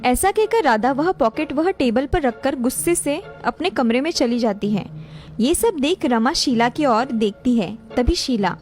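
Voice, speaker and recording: A woman's voice: high-pitched, lively intonation, unhurried, darkish tone, faint music behind. A woman narrator reading a scripted cartoon family story.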